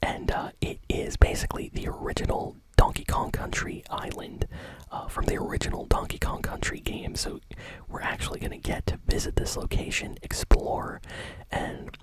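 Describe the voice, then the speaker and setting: A woman hosting a gaming news show in a soft, breathy ASMR whisper, speaking in a casual, unhurried, fan-like way. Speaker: host of a gaming news channel.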